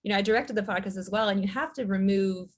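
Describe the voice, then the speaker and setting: Husky-voiced woman screenwriter. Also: medium pitch, fast. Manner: rambling, storytelling, frequent filler words. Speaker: writer and director, guest. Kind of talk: casual interview chat.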